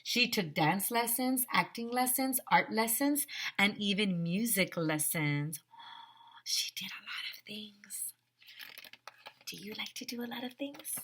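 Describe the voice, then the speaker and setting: A woman's voice: mid-range voice, slow, fairly bright tone, slightly rough. A woman teacher reading a picture book aloud to young children, expressively, with exaggerated emphasis and questions put straight to the listeners. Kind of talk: animated storybook read-aloud with asides and questions to young listeners.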